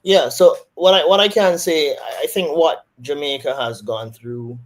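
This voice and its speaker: A man's voice: a little high, measured pace. A man with a Barbadian accent, a surgeon answering interview questions thoughtfully, with frequent restarts.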